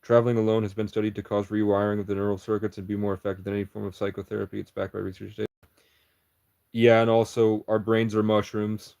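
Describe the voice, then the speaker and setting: Man, lowish voice, livestreamer riffing at chat, conspiratorial and meandering, cuts himself off a lot. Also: level tone, fast talker, vocal fry, darkish tone.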